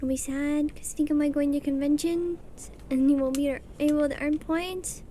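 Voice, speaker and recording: A young woman with a soft voice chatting casually and rambling while she draws on a livestream. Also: high-pitched, fairly even intonation, normal speed, slight background noise. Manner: chatty, rambling, self-deprecating, mutters settings under her breath. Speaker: streamer. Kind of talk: casual chat while drawing on stream. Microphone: streaming mic.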